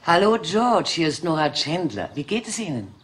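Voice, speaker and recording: An actress playing a poised, gracious aging film star in a radio play. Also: female voice, sits low, big pitch swings, moderate pace. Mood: amused. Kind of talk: German radio play acting.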